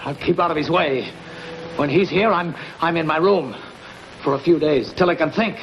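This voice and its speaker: An actor playing an anxious, touchy gangster in hiding, brooding and edgy, in a 1950s television crime drama. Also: male voice, a little high, moderate pace, slight background noise, close to an everyday voice. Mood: bitter, worried. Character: anxious, touchy male gangster hiding after face surgery. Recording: dull vintage broadcast audio, very loud music.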